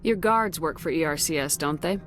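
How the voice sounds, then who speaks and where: A woman's voice: low voice, fairly quick, fairly bright tone, soft background music. A woman playing a confident military space commander in a video game, direct and no-nonsense in manner. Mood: firm, businesslike.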